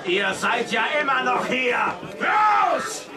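An angry sailor in a children's radio play, barking orders in a raised, very high shout. A rowdy crowd surrounds him. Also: male voice, sing-song, slow, full character voice. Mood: furious. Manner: gruff, barking commands. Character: angry bellowing sailor threatening two boys. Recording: loud tavern crowd behind.

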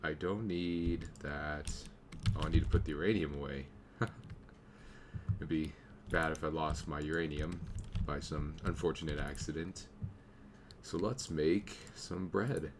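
A young man with a slight cold, casually commenting on his game as he plays and thinking aloud, now and then clearing his throat. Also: a bass voice, steady tone, unhurried, noisy background. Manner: easygoing, thinking aloud while playing. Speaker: gameplay commentator. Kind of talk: casual let's play commentary.

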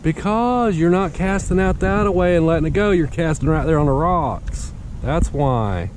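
A man's voice: on the high side, expressive, moderate pace. An older man, coaching kids on fishing in a plainspoken, folksy way, recorded outdoors on a riverside dock with a wearable action camera. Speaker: host fishing with grandkids. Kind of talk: casual instruction and chat with children. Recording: heavy wind noise outdoors.

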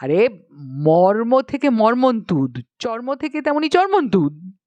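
গল্পপাঠে একজন নারী একজন মাতব্বর, বকাবকি করা অনুগত পুরুষ চেলার চরিত্রে কথা বলছেন।. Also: female voice, a little high, big pitch swings, measured pace, a little mellow, no echo, highs cut off. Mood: explaining. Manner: scolding, insistent. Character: brash bossy male hanger-on, scolding a writer.

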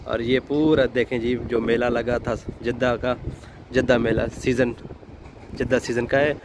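A man narrates a casual vlog as he goes about in a car and outdoors. His voice sits at an average pitch and his talk is informal, dotted with admiring exclamations. It is recorded on a phone without a microphone, with plenty of traffic and outdoor noise. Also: flat delivery, a little slow. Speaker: vlogger and delivery driver. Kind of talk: casual vlog commentary on the sights. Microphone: phone held in hand, no external mic.

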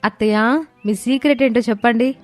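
A woman's voice: fairly high pitch, brisk, soft background music, natural voice. A woman voicing a confident, dutiful young wife and mother in a dramatised story, assertive and reassuring.

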